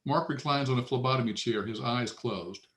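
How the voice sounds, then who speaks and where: A man's voice: mid-range voice, moderate pace, a bit bright. A man narrating scripted stage directions aloud at a screenplay table read.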